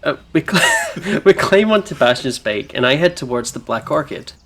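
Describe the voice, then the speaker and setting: A man's voice: on the high side, huge pitch swings, moderate pace, a bit bright. A British man hosting a visual novel let's play, switching between casual commentary and read-aloud narration in a playful manner. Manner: giggly, playful. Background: game music.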